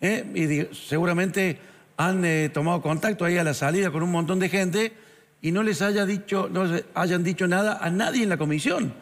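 A male co-host with an Argentine accent questions sharply in a high voice, sounding openly incredulous. His voice rings with a long studio reverb. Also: moderate pace. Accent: Argentine Spanish. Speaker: TV show co-host.

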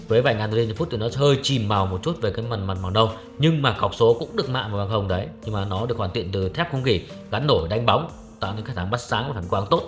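A young man talks quickly through a watch review in a low voice. His delivery is chatty salesman patter aimed at his viewers, with faint music playing underneath. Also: a bit bright. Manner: brisk salesman patter, calls viewers "brothers". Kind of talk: product review with close-up narration.

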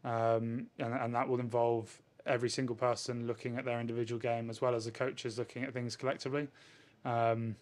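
A man, a rugby player answering questions in a post-match interview in a measured way. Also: medium pitch, little pitch movement, darkish tone. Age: 20s. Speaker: professional rugby player, winger. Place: indoors in front of a sponsor backdrop. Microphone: handheld interview microphone held at chest height.

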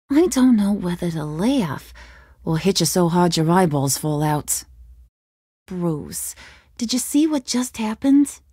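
A woman's voice: on the low side, warm tone, breathy edge, no echo, clean studio sound, full character voice. An actress playing a cunning, menacing young female gang boss in a video game, taunting and theatrical, swinging from sweet to threatening.

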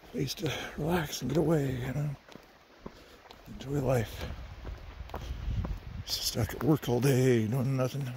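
A man's voice: mid-range voice, a little mellow, slight background noise. A middle-aged man with a sniffly voice, chatting easygoing to his phone camera as he hikes a forest trail. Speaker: hiker vlogger. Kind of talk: casual rambling hike narration.

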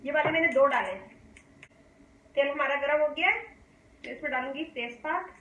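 A woman from Pakistan hosting a home cooking demonstration, calmly explaining each step and often inviting viewers to look. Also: high-pitched, moderate pace, lo-fi sound. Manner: calm, homely explaining, often saying "dekhiye ji". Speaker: cooking channel host. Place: home kitchen, indoors. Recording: echoey kitchen with faint hum.

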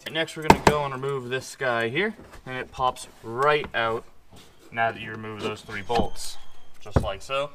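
A man's voice: mid-range voice, moderate pace. A young man talking informally as he hosts a hands-on how-to vlog, explaining each step. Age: young adult. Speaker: host of an off-road install channel. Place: home garage, working around a vehicle. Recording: noisy garage action-camera audio with clicks.

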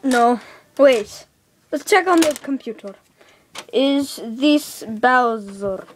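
Young woman playing a bossy, high-voiced game hero. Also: lively intonation, takes it slowly, slight background noise. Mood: impatient, bossy. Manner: bossy, sarcastic, easily exasperated. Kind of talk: improvised amateur role-play skit.

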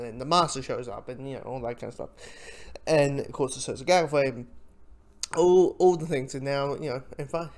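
A reviewer talking casually and off the cuff, in a hesitant, halting way with frequent false starts. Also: mid-range voice, a little mellow.

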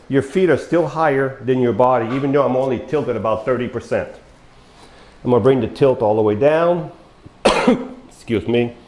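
An older man walks viewers through a product demo in a plain, unhurried way, calling them folks as he goes. His voice is mellow and dark, worn thin from a long day of talking. The big bare room gives it a noticeable echo. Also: medium pitch. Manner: folksy, addresses viewers as folks, explains step by step. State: losing his voice, coughing. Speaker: mobility dealer demonstrating a wheelchair. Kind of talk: product demonstration talk. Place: large bare showroom studio, indoors. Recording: echoey room.

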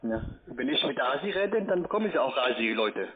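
A man's voice: medium pitch, big pitch swings, moderate pace, a crowd in the background. A man with a foreign accent in German, arguing curtly on a phone call as a call center agent. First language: not German. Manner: curt, confrontational. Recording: tinny phone line, busy call center.

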